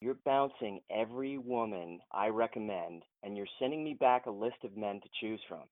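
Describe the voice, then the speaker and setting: An actor playing a frustrated, principled film producer in an audio drama, earnest and insistent, heard over a thin phone line. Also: male voice, on the low side, animated, moderate pace, no echo, close to an everyday voice. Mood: frustrated, pressing. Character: frustrated, principled male film producer challenging his boss. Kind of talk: modern audio drama acting.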